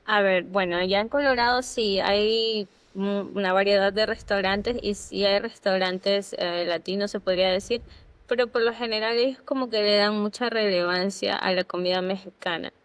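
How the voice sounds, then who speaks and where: A woman's voice: medium pitch, moderate pace, clear, resonant tone, no room sound. A woman from Ecuador co-hosting a podcast, telling personal anecdotes in a casual way. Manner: animated anecdotes with fillers. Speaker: podcast co-host. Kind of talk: casual storytelling.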